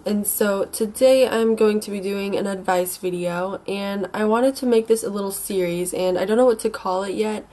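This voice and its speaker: A young woman with an American accent talks in a congested, slightly sick voice at an average pitch. She gives casual advice like a vlogger. Her delivery is informal and rambling, with some hesitation. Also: fairly even intonation, normal speed, hardly any pauses, dark tone. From United States. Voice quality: stuffy, congested nose. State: mildly sick with a stuffy nose.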